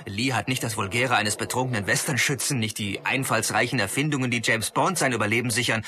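An actor playing an eager, knowledgeable martial arts film fan, giving eloquent commentary in a radio play. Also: male voice, medium pitch, quick pace, sharp tone, natural voice. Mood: enthusiastic. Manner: enthusiastic, eloquent.

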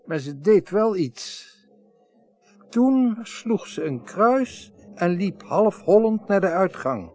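High-voiced, observant newspaper reporter. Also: male voice, sing-song, takes it slowly, darkish tone, exaggerated voice. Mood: confiding. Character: observant male newspaper parliamentary reporter, quietly confiding. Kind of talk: Dutch radio drama acting.

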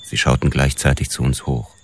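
A world-weary detective with an extremely low voice tells his story in a radio drama. He is measured and deadpan, in hardboiled style, over faint background noise. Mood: observant, dry.

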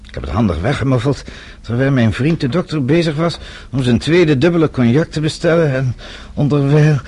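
A man's voice: medium pitch, expressive, fairly quick, darkish tone, husky. An actor playing a shrewd, stubborn middle-aged man with a dry, authoritative, sardonic manner in a radio play. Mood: dry, assured. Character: shrewd, self-assured father-in-law, dry and stubborn.

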